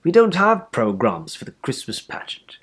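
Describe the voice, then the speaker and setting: Man as a firm, exasperated storybook mother, lively pitch. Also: normal speed, slightly rough, exaggerated voice. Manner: firm, insistent. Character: exasperated, brisk, firm mother running a pageant.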